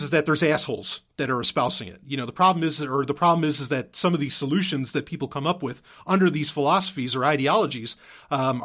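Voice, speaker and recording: A man's voice: mid-range voice, a bit bright. A man hosting a podcast in a casual, unscripted monologue, rambling and emphatic, often repeating himself and swearing casually. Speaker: podcast host.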